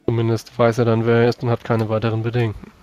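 A man's voice: medium pitch, completely flat delivery, fast, darkish tone. A man playing a tabletop role-playing game, speaking in character in a formal, old-fashioned way and sometimes groping for words. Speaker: player. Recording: dull lo-fi microphone.

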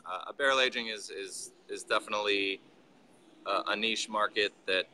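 A man in his thirties, a brewery owner, talking casually and haltingly on a video call from inside his brewery. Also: on the low side, slight background noise. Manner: relaxed, halting, with restarts. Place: brewery building, indoors. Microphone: video call, phone or laptop camera.

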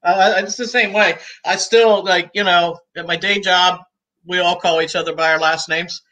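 A woman in her sixties, talking as a guest on a video call from home, chatty and easygoing and quick to laugh. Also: fairly low pitch, fairly even intonation, fairly bright tone, rough recording. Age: 60s. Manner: talkative and easygoing, laughs often. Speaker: guest, cigar shop owner. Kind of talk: casual interview chat. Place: room at home.

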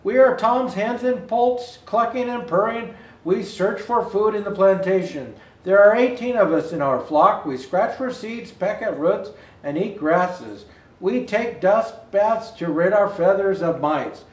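An older man with a high-pitched voice reads a children's picture book aloud. He phrases things expressively and deliberately, stumbling now and then. The room adds a noticeable echo around his words. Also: far from the mic. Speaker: Santa Claus storyteller. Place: indoor room with Christmas decor. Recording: roomy indoor echo.

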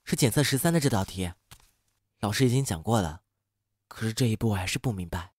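A man playing an earnest, easily flustered high-school boy in an amateur campus audio drama. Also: animated, fast talker. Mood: sincere.

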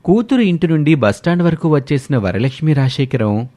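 A man's voice: on the high side, sing-song, fairly quick, mellow. A man reading a scripted story as a storyteller.

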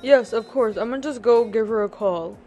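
Woman as a gentle, reassuring grown son. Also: a little high, a little fast, dull audio, faint music behind, natural voice. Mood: encouraging. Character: supportive, reassuring grown son counseling his father. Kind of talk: amateur voice-acted roleplay drama.

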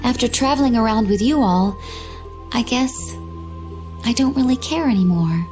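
A woman plays a serene young game warrior, playful and quietly wistful. Her voice sits a little high, with soft music behind. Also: lightly acted. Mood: calm, wistful. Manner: calm, coy, unhurried. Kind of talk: video game cutscene acting.

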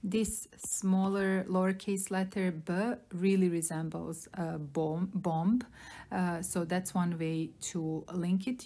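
Young woman, Serbian accent, language teacher, slow deliberate delivery, explanatory lesson narration. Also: on the low side, dark tone.

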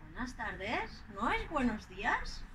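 An older woman from Madrid with a Madrid Spanish accent, acting out a slow, clearly spoken teaching dialogue outdoors on a clip-on mic. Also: a little high, wildly swooping pitch, fairly bright tone, a little hiss or hum, poor audio. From Spain. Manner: playful, deliberate spelling out of words. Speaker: language teacher in a scripted dialogue. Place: outdoors in front of a green wall.

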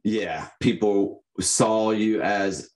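A young man giving reflective, personal answers as a podcast guest, in a halting, thoughtful manner. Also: fairly low pitch, a little mellow. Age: young adult. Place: indoor room, on a video call. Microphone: condenser microphone.

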